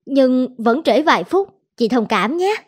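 High-pitched, polite young sister-in-law. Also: female voice, big pitch swings, a little slow, slightly rough, full character voice. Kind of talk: Vietnamese animated drama dubbing.

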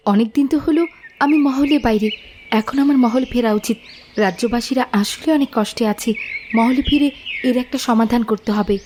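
Young fairy tale cartoon queen, played by a woman: high-pitched, naive and plaintive, soft music underneath. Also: brisk, natural voice. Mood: earnest, thoughtful. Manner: plaintive, earnest. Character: young princess turned queen, grieving, naive, earnest.

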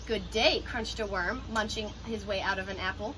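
A young adult woman reading a children's picture book aloud in an expressive, child-directed way, like a teacher. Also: mid-range voice, normal speed. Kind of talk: children's storybook read-aloud. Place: outdoors on a porch by glass doors. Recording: ambient outdoor noise behind voice.

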